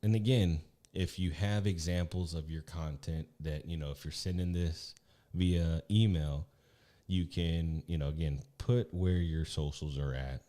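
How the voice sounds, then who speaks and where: Deep-voiced man, mellow tone, casual live podcast co-host, rambling tips. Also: steady tone, unhurried. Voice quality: naturally deep voice. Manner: loose, chatty, repeats "again" and "right".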